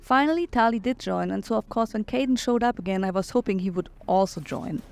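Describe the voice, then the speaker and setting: Creaky-voiced woman doing game commentary. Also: medium pitch, brisk, darkish tone, faint music behind. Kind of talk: opinion commentary on a video game playthrough.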